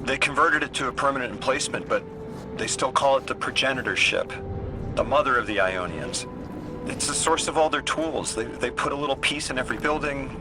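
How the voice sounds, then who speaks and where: A man's voice: brisk, music in the background, close to an everyday voice. A man playing a wry, worried video game character in conversational, slightly hesitant dialogue. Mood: grave, conversational. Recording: noisy game ambience, vehicle rumble.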